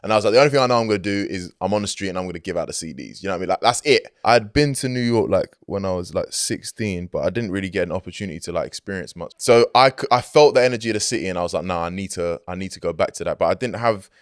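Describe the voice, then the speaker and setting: A young man with a deep, smooth, resonant voice chats easily as an interview guest. His talk is laid-back and loose, full of filler phrases. The sound is close and dry, as in a studio. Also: racing, few pauses, no room sound. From Greek heritage, grew up outside Greece. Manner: laid-back, peppered with "like" and "you know what I mean". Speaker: hip-hop artist guest. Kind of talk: casual interview chat. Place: recording studio.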